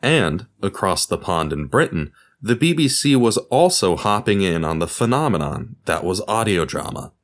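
A man's voice: sits low, very animated, normal speed, hardly any pauses. A man presenting a podcast as its host, reading scripted narration in an explanatory way. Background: music.

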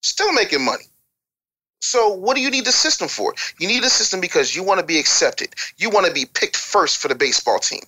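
A man's voice: sits high, very animated, a little fast, pushed and bright. An American man giving opinionated, unscripted advice as a podcast guest, blunt, profane and scolding.

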